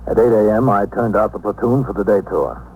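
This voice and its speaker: Low-voiced, methodical radio police captain, acted by a man. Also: phone-quality sound, lightly acted. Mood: matter-of-fact narrating. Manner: measured, matter-of-fact. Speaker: actor in a radio police drama. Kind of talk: 1950s radio acting, dialogue and first-person narration. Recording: noisy, humming 1950s radio recording.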